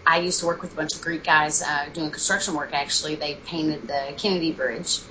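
A woman's voice: low-pitched, steady tone, a little fast, bright, forceful tone, slight background noise, poor audio. A young woman from Kentucky, a podcast guest chatting casually and rambling with many fillers and restarts. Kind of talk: casual interview chat.

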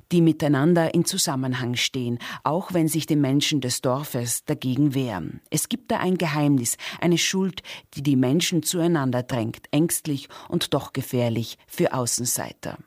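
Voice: mid-range voice, moderate pace, hardly any pauses, darkish tone, dry recording, clean studio sound. A presenter reading a scripted introduction to a literary radio play. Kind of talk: scripted narration.

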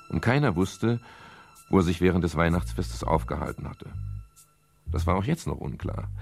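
An actor playing an urbane, wry private detective in a radio crime play, courteous and given to ornate, ironic digressions. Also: male voice, sits low, vocal fry, darkish tone, breathy edge, heavily acted. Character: urbane, wry British private detective narrating his case. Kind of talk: scripted 1970s radio crime drama narration.

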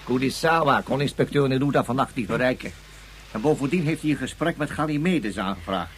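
A man's voice: medium pitch, expressive, a little fast, close to an everyday voice. A man acting a formal, wordy tribesman with a florid manner in a Dutch science fiction radio drama. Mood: polite, informative. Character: formal, wordy tribesman with grumbling scorn for tyrant. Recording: slightly noisy radio play recording.

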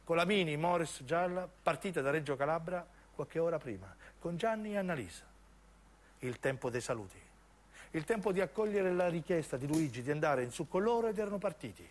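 A man narrates as a stage actor, in intense, deliberate phrases of dramatic radio storytelling. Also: a little high, animated. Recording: piano music under the voice. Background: piano and keyboard music.

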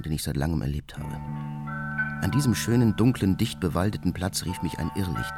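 Reclusive hermit, extremely low voice, measured diary monologue, radio drama, contemplative, loud music underneath. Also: male voice. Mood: reverent, contemplative. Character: solitary male hermit reading his reflective nature diary. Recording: studio voice under prominent music. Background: prominent music with mallet percussion.

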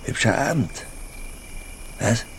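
An actor in a radio drama playing a sly, awkward country fellow with halting, needling, colloquial talk. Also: male voice, a bit bright, slight background noise. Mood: awkward, probing. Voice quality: very low, creaky.

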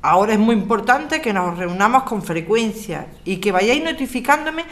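Una actriz con acento castellano que interpreta a una abogada serena y comprometida, con habla pausada y didáctica, en una ficción sonora. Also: female voice, medium pitch, animated, close to an everyday voice. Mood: firm, explaining. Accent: Castilian Spanish. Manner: measured, explanatory, articulate. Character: Alicia, composed, earnest lawyer rallying her neighbours. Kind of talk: scripted audio drama.

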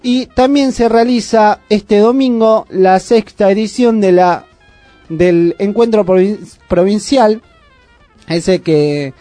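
A man with an Argentine accent hosting a casual community radio show, chatty and full of "eh" pauses. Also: sits high, dark tone, no room sound, faint music behind. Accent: Argentine Spanish. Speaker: radio host. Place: radio studio.

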